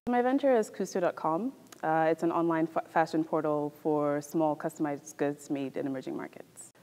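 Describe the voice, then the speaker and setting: A young woman from Ghana speaks in a notably low, measured voice. A long room reverb trails her words in a big formal room. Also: dark tone. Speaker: MBA student. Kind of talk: testimonial to camera. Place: large elegant room indoors.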